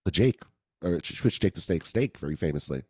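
Raspy-voiced man hosting a podcast. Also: low voice, lively intonation, brisk, mellow, no echo, clean studio sound. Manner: laughs often, playful. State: hoarse. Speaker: podcast host. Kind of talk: casual sports podcast chat.

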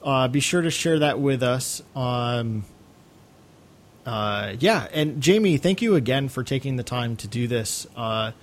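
A man's voice: mid-range voice, lively intonation, measured pace. A man co-hosting a podcast in casual, rambling, hesitant chat. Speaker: podcast co-host.

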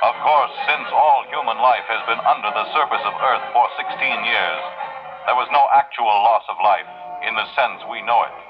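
A man's voice: medium pitch, normal speed, muffled recording, over music, lightly acted. A man playing a grandiose, solemn announcer in a 1950s radio drama, declamatory and portentous, on an old recording. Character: grandiose, solemn announcer of futuristic tales and war propaganda. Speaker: announcer and newsreel narrator.

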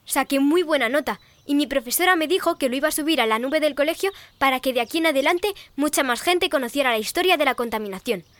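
A curious young granddaughter in an audio drama, with a very high voice and a dry, close sound. She is eager and admiring, full of questions. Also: animated, quick pace, few pauses, fairly bright tone, no room sound. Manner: eager, inquisitive. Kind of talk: scripted audio fiction acting.

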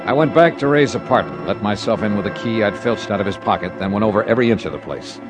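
An actor playing a terse, hard-boiled insurance investigator in a 1950s radio drama, brisk, probing and matter-of-fact. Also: male voice, on the low side, lively intonation, over music, natural voice. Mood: intent, measured. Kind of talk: 1950s radio detective narration and dialogue.

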